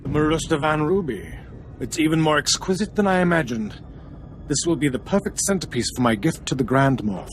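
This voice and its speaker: Pompous, lively-pitched villainous imperial commander played by a man. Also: a little fast, slight background noise, lightly acted, low-quality recording. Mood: haughty. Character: haughty male imperial military commander, impatient villain. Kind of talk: video game cutscene acting.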